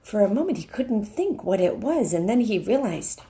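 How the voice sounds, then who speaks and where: A woman's voice: medium pitch, big pitch swings, mellow. A middle-aged woman, a teacher, reading a storybook aloud expressively and stopping to explain it to her listeners. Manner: deliberate, pauses to explain to listeners. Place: indoors, wood-panelled room.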